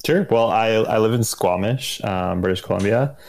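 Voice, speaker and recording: A man's voice: lowish voice, moderate pace, vocal fry, darkish tone. A Canadian-accented man in his thirties chatting casually as an interview guest, informal and searching for his words. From Ontario, Canada. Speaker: interview guest, endurance cyclist.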